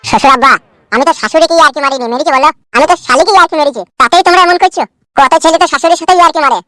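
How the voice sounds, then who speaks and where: A man voicing a shameless young son-in-law in a cartoon, pleading and foul-mouthed, with a pitched-up voice. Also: very fast, sharp tone, dry recording, faint music behind. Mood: defensive.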